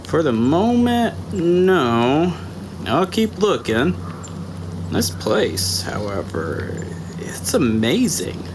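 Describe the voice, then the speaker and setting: A man voicing Jake, a calm, observant male sniper reporting over a radio in an audiobook drama. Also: a little high, very slow, noisy background, voice pushed up, heavily acted. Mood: steady.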